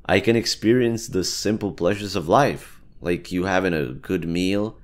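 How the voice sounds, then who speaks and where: A man's voice: low voice, a little slow. A man voicing a chatty brain-eating amoeba who bargains persuasively and explains things casually in a one-sided audio roleplay. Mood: eager, reassuring.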